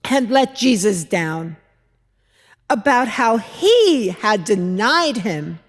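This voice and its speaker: A woman playing an earnest biblical widow, a mother-in-law telling her story as a measured, expressive dramatic monologue with deliberate pauses. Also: medium pitch. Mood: sorrowful. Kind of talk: dramatic monologue reading in church. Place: large church, indoors. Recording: echoey church hall, long reverb.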